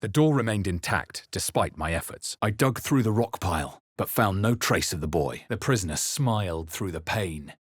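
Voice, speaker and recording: A man voicing a wry, watchful fantasy adventurer in a video game, with a measured, dramatic delivery. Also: deep voice, expressive, husky, dry recording, clean studio sound. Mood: somber. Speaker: player character voice in a video game. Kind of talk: scripted game narration, barks and spell incantations.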